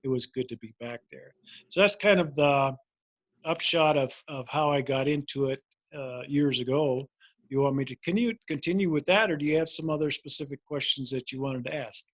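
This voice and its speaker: An older American man giving an informal career talk, explaining and recounting in a measured, reflective way. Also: mid-range voice, fairly bright tone, no echo. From Montana, United States. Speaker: air traffic controller, career fair guest speaker.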